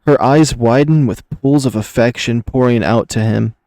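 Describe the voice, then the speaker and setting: A man reading scripted fiction aloud as an expressive story narrator. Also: mid-range voice, normal speed, no room sound. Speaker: narrator, reader.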